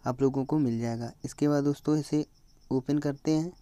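A young man with an Indian accent walks through a phone app step by step. His voice is mellow and smooth, with little rise and fall. The recording is dry and slightly muffled. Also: a little high, fairly even intonation, moderate pace, no room sound. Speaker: tutorial host.

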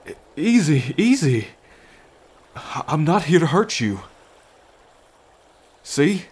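A man playing a gentle, anxious lone fisherman in an ASMR roleplay, with a halting, reassuring manner. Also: fairly high pitch, very animated, breathy edge, full character voice. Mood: soothing, pleading.

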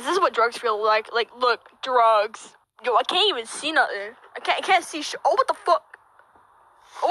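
A woman doing a silly, profane, theatrical amateur comic dub, improvised as she goes. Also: high voice. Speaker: amateur voice-over performer. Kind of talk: crude comic dub of a puppet show, improvised. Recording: noisy home recording with clicks.

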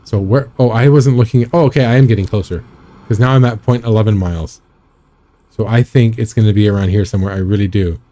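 A man in his thirties chatting casually while live-streaming a driving game, rambling with a slightly raspy, scratchy throat. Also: fairly low pitch, fast talker, dark tone. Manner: rambling, chatty, reads and answers chat.

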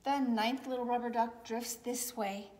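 A woman in her forties with a fairly high voice reads aloud for a children's story time. She phrases things deliberately so that small listeners can follow. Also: fairly even intonation, a little mellow, a little hiss or hum. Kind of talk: read-aloud picture book storytelling. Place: large indoor room with high wooden ceiling.

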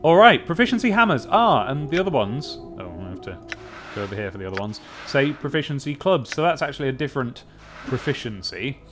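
A man's voice: mid-range voice, sing-song, a little fast, fairly bright tone. A young British man giving casual, chatty gameplay commentary in a wry manner, thinking aloud close to a desk microphone. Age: young adult. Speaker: let's play host. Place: small room at a desk. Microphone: desk microphone with pop filter, close. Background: game music.

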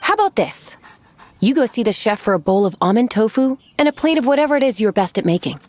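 An actress playing a composed, knowing, gently wry woman who runs an inn in a video game. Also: fairly high pitch, very animated, dry recording. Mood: wry, advising. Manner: measured, knowing, lightly amused.

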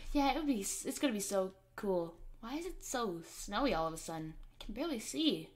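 A woman's voice: a little high, expressive, brisk. A woman doing in-character roleplay commentary on a video game, hesitant and stammering as she talks.

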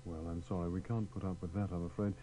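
Low, mellow male classical presenter. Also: fairly even intonation, slight background noise. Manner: measured, politely apologetic, formal.

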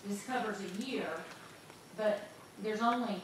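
A woman's voice: mid-range voice, unhurried. Woman, conversational, hesitant, distant mic, noisy chamber. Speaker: planning commissioner. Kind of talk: questions and motions at a public meeting. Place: large council chamber. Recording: echoey hall, mic far off, background noise.

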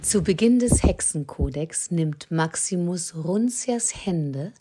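Measured woman narrator, unhurried. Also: on the low side, expressive, background noise. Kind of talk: scripted audio drama narration.

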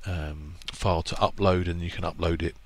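A man talks through a product setup in a very low, easygoing voice. He pauses often with ums and restarts as he explains each step, recorded as a voice-over on a screen capture. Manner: relaxed, lots of ums and restarts, plainspoken. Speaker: product presenter for a marine electronics maker.

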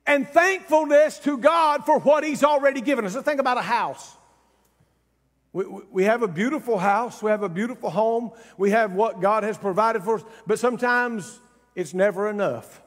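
Casting: male pastor, sixties, very high-set voice, exhorting sermon delivery, reverberant church hall. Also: a bit bright. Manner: emphatic, exhorting, conversational asides. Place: large church stage, indoors.

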